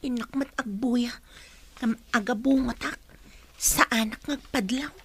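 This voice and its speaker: A woman's voice: a little high, fairly even intonation, a little slow, a bit bright, breathy edge, full character voice. An actress with a Filipino accent playing a whiny, envious schoolgirl in a radio drama. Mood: envious, bitter. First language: Ilocano. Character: whiny, envious schoolgirl who shuns studying and chores.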